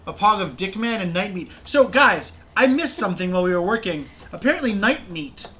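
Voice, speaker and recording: A man's voice: high-pitched, animated, fairly quick, bright, forceful tone. A man hosting a casual crafting livestream, with a playful, informal manner. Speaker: livestream host. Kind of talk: casual chat while doing crafts. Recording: some background noise.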